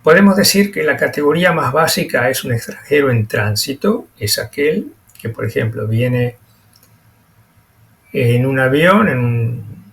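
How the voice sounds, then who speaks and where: Halting man explaining in a lecture. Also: medium pitch, expressive, vocal fry, a bit bright. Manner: halting, explanatory, with frequent pauses and repeated words. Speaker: presenter. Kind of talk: explanatory lecture narration over slides.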